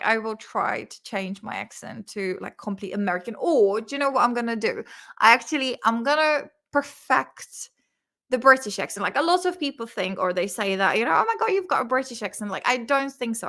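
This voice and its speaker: Bright-voiced Czech woman vlogging. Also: on the high side. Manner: chatty, swears freely, laughs often; sounds words out slowly and repeats them. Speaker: vlog host. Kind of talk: casual study-along vocabulary vlog.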